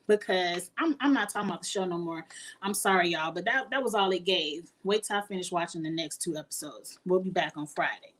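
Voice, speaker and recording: A woman, chatting casually with her viewers as a YouTuber, laughing often and sounding earnest and encouraging. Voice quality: high-pitched voice. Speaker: YouTuber answering live chat.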